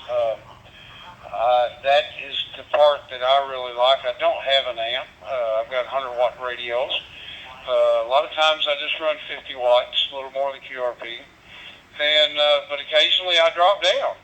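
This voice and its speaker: A man chatting casually as a ham radio operator over a digital radio link, with halting, folksy phrasing. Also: medium pitch, pushed and bright. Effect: digital radio transmission. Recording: dull, lo-fi digital radio audio.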